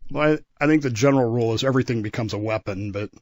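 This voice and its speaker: A man speaking as a radio history commentator, telling stories conversationally with emphatic pauses and asides. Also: medium pitch, darkish tone, no echo, lo-fi sound. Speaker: regular history commentator on a radio talk show.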